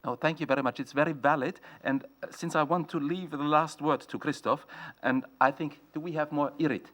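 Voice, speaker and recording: A man's voice: fairly high pitch, fairly even intonation, a little fast, fairly bright tone. A man chairing a conference panel, speaking politely with stuttered starts.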